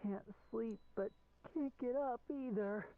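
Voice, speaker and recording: A woman's voice: a little high, takes it slowly. A woman voicing a weary young male stage magician, polite and theatrical, in a fan-dubbed game on tinny audio. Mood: exhausted. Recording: tinny phone-like audio, faint noise.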